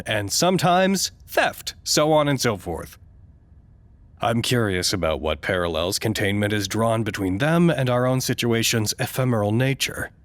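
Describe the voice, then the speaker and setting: An actor playing a formal, precise male staffer who narrates a log in a measured, wry, dryly curious way, as in an audio drama. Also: medium pitch, dry recording, lightly acted. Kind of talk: scripted audio drama monologue.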